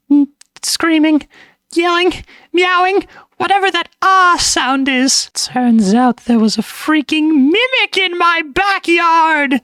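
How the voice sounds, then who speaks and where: An actress plays a fond woman pet owner in an analog horror mockumentary. Her voice is high and she takes her time, chatty and sincere. The recording is dry and close. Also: a bit bright, no echo. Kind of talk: scripted testimonial in an analog horror video.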